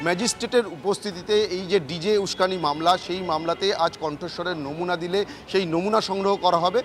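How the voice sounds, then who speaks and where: A man's voice: high-pitched, fast talker, a bit bright, faint music behind. A man reporting live news outdoors with emphatic, repetitive phrasing. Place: outdoors outside a city courthouse.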